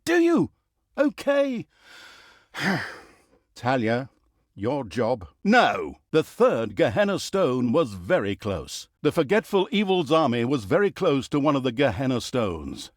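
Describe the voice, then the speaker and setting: An actor playing a wry, theatrical and easily exasperated narrator of a fantasy video game, telling the story with mock grandeur. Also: male voice, fairly high pitch, no room sound, studio quality, exaggerated voice. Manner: sardonic, mock-grandiose, breaking the fourth wall. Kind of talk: scripted comic fantasy storytelling.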